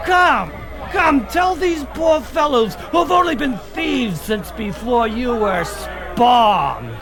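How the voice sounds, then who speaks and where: Fat braggart villain for fantasy radio play: bawdy, jeering, gravelly, wildly swooping delivery. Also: male voice, extremely high pitch, animated, slow pace, music in the background, a crowd in the background, exaggerated voice. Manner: blustering, bawdy, theatrical, jeering. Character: fat, gross, boastful kidnapper, mocking and quick-tempered. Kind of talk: fantasy audio drama acting.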